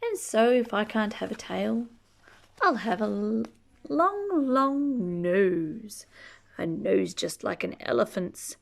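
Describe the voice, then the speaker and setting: A woman reading a rhyming children's book aloud expressively, drawing out key words and chatting gently to young listeners. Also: mid-range voice, vocal fry. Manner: animated, drawn-out key words, gentle questioning asides. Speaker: storybook reader reading to children.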